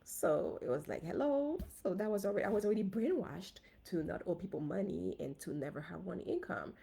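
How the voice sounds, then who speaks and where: A woman from Haiti speaks with a Haitian accent and a slightly husky, mellow voice pitched a little low. She talks straight to her viewers in an animated, casual livestream style. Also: brisk. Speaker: dentist and finance coach. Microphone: phone.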